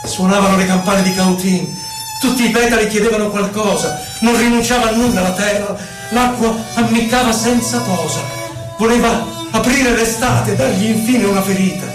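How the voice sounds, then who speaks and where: Exiled poet, nostalgic and solemn, male actor: high-pitched lyrical recitation, set back in a roomy space with violin. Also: normal speed, natural voice. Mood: impassioned. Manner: dramatic, lyrical, solemn delivery. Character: exiled poet, nostalgic, lovelorn, wandering within himself. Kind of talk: theatrical poetry recital with violin. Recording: roomy, distant voice under violin music. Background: violin music.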